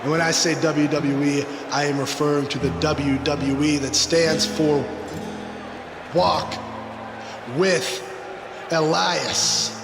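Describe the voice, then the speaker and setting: An actor playing a smug wrestling villain musician in a video game, boasting and taunting in a drawn-out delivery. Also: male voice, fairly high pitch, fairly even intonation, creaky, background noise. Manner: slow, drawn-out, third-person boasting. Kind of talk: wrestling promo acting. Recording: echoey arena over loud guitar music.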